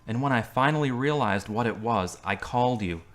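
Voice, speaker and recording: A man playing a wounded, guarded young man who speaks in a flat, terse way. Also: medium pitch, fairly quick, natural voice.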